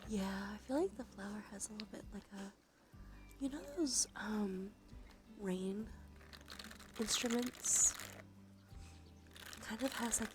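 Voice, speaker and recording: A woman streaming ASMR, talking softly and breathily into a close microphone, gently repeating phrases to her live chat. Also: mid-range voice. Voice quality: whispery, breathy. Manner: gentle, slow repetition of phrases. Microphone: close sensitive ASMR microphone. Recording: soft music, crinkling behind. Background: music, crinkling and brushing sounds.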